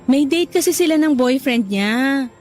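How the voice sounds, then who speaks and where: A woman's voice: high-pitched, animated, measured pace. A woman with a Filipino accent playing a devoted young office worker and girlfriend in a radio drama, earnest and emotive. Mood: light, friendly. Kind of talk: Tagalog radio drama acting.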